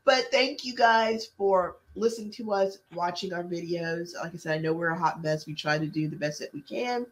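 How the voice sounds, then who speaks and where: Southern woman co-host, animated storyteller, casual podcast chat. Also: on the low side, moderate pace, hardly any pauses. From American South. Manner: animated storyteller, frequent affirmations.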